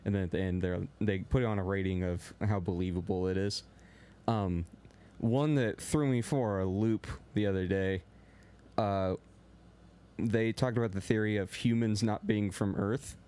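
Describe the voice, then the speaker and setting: A young man co-hosting a sports podcast in casual chat. He talks a little loud and rambles, with lots of filler words. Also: fairly low pitch, fairly even intonation, moderate pace, clean tone. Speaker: podcast co-host.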